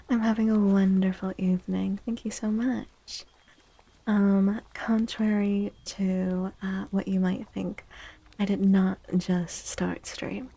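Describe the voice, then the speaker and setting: Female ASMR streamer: slow, gentle, breathy half-whisper with vocal fry, soothing and affectionate. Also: mid-range voice, no echo. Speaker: livestream host doing tarot readings. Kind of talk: soft-spoken ASMR livestream chat.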